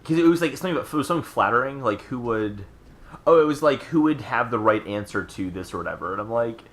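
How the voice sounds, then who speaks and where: A man's voice: medium pitch, lively intonation, fast, a bit bright. A man in his twenties chatting casually and ramblingly as co-host of a gaming podcast. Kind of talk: casual chat about video games.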